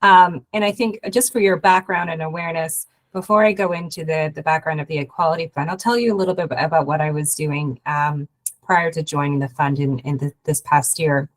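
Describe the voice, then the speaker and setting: A woman from Canada, hoarse from a cold, giving a halting webinar talk over a video call with many fillers and restarts. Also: sits low, fairly even intonation, poor audio. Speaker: guest speaker, investment program head. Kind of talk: webinar presentation.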